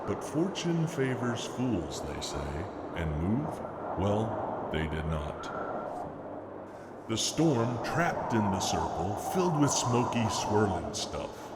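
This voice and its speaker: A man's voice: on the low side, big pitch swings, slow pace, very noisy. A man gives a dramatic, theatrical reading of a spooky narrative poem, leaning into the rhythm and rhyme as storyteller. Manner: theatrical, rhythmic rhyming delivery. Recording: long reverb with music behind.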